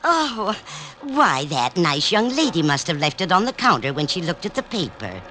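A woman's voice: lowish voice, very animated, moderate pace, pushed and bright. A woman acting a wily old American newspaper seller, folksy and sweet on top and tough underneath, in an old-time radio drama. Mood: indignant. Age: older. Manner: sing-song hawking, folksy sweetness turning tough. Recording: noisy old radio recording.

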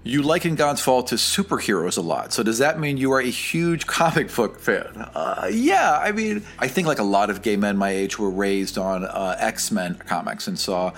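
A man's voice: on the low side, lively intonation, moderate pace, gravelly, hardly any pauses, fairly bright tone. A young man who runs a tabletop game, answering interview questions in a casual, rambling way with many fillers. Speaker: dungeon master and game creator.

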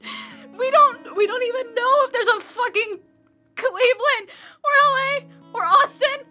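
A woman's voice: extremely high pitch, big pitch swings, measured pace, pushed and bright, full character voice. Audio drama lead, woman acting: grieving young female survivor, bitter, emotionally raw, foul-mouthed, music underneath. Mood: anguished, furious.

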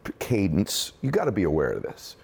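A man hosting an interview who talks quickly and assertively. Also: low voice, wildly swooping pitch, gravelly, a little mellow, husky. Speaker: host. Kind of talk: interview conversation.

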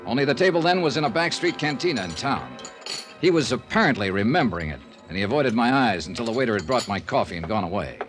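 A man acting a hard-boiled insurance investigator from 1950s radio. His delivery is clipped and sardonic, swinging in pitch over an old broadcast recording with music. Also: sing-song, quick pace, close to an everyday voice. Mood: dry narration. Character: wry, tough, blunt male freelance insurance investigator. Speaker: radio drama lead actor. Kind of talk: 1950s radio drama acting, first-person narration.

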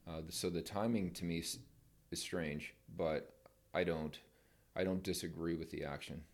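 Middle-aged veteran guest, very low voice, measured and firm. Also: male voice, steady tone. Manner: measured, plain-spoken, firm opinions. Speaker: podcast guest, military veteran. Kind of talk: casual interview chat.

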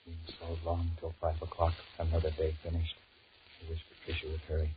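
Man playing a very deep-voiced, shifty 1940s radio husband. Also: completely flat delivery, natural voice. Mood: impatient, brooding. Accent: American. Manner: muttered private thoughts alternating with halting, stammered sweet talk. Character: cheating husband, cowardly, irritable, trapped between wife and mistress. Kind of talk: 1940s radio horror acting with inner monologue. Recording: muffled, noisy 1940s radio recording.

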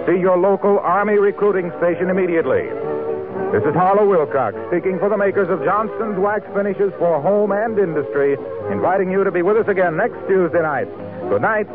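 A man's voice: high-pitched, a little fast. A man with an American accent reading commercials and announcements as a 1940s radio announcer, in a polished, formal sales manner. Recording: tinny old radio broadcast with music.

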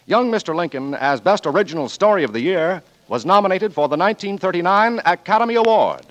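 A man's voice: high-pitched, expressive, fast talker, fairly bright tone, highs cut off. A man with an American accent announcing formally in 1940s radio style. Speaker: program announcer. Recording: vintage 1940s radio broadcast. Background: music.

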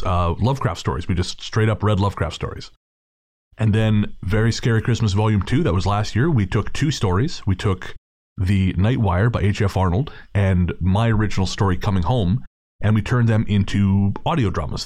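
A man with a fairly low voice hosts a podcast. He introduces the show in a casual, conversational way. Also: a little mellow, no room sound. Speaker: podcast host.

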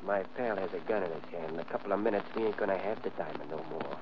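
A man's voice: low voice, quick pace, natural voice. Man acting a curt, bossy old-radio gangster. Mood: menacing, curt. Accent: American. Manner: curt, slangy tough-guy talk. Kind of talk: 1940s radio crime drama acting. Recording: muffled old radio recording, faint noise.

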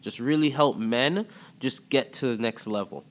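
Man, Toronto accent, podcast host, mid-pitched, loose rambling chat. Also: a little hiss or hum. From Antigua and Barbuda. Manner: loose, repetitive, with many "like" fillers and pauses. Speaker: podcast host and life coach. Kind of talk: casual podcast talk.

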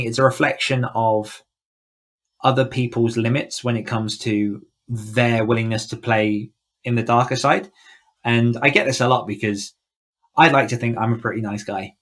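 A man's voice: medium pitch, fairly bright tone. A man chatting casually as a podcast guest, thinking aloud in a reflective, conversational way with frequent asides. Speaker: podcast guest, horror author. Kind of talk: casual interview chat.

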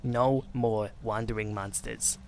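A man's voice: fairly low pitch, steady tone, slow, creaky. A man voicing a cruel, sneering male kobold in a storybook reading, hissing out insults and threats. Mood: threatening. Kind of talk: audiobook character voice.

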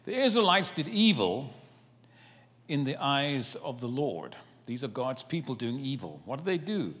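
A man's voice: mid-range voice, very animated, a little slow, fairly bright tone. A man with a South African accent, a guest preacher, joking lightly and then reading scripture dramatically in a sermon. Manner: playful, dramatic Bible reading. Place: church sanctuary. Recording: church hall reverb.

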